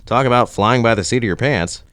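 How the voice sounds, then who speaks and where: A man's voice: medium pitch, fairly quick, a bit bright, no room sound, studio quality. A man hosting a playful scripted podcast interview with a wry, mock-formal manner. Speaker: podcast host.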